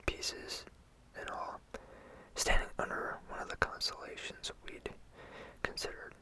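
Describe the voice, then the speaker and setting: A storyteller whispering a first-person horror story in a hushed, intimate and steady way. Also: poor audio.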